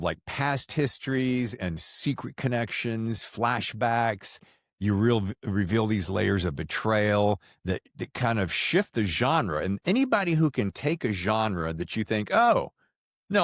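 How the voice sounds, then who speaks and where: A man hosting a podcast, chatting casually and slangily in an animated way as he interviews a guest. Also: fairly low pitch, dry recording, studio quality. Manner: animated, slangy, acts out reactions. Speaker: podcast host. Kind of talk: casual book interview chat.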